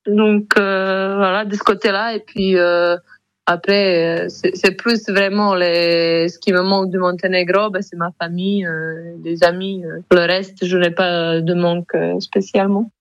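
A woman's voice: lowish voice, level tone, unhurried, no room sound, lo-fi sound. Hesitant woman with a Montenegrin accent. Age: 30s. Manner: hesitant, many fillers and restarts. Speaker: radio guest, handball player. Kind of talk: radio interview answers.

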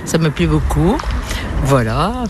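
Old lady w soft toulouse accent, chatting in an interview, chuckly, noisy outdoors. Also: low-pitched, very animated, slow pace. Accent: softened Toulouse, southern French. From Toulouse, southern France. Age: 70s or older. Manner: chuckling, repeats short phrases. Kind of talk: casual chat.

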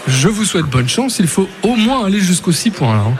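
A man's voice: high-pitched, big pitch swings, a little fast. A man hosting a radio quiz in a chatty, playful, teasing and informal way. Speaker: radio game show host. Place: on location at a trade fair. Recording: music behind the voice.

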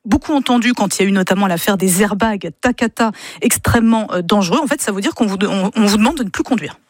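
A woman's voice: medium pitch, fast, no room sound. Emphatic woman radio columnist, dry studio sound. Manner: didactic, emphatic, addresses listeners directly. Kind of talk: explanatory radio segment, conversational.

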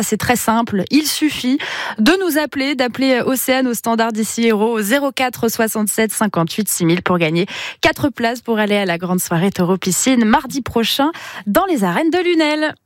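A woman with an Italian accent hosting a morning radio show, chatting quickly and brightly between segments. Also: on the high side, no echo, clean studio sound. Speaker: radio host.

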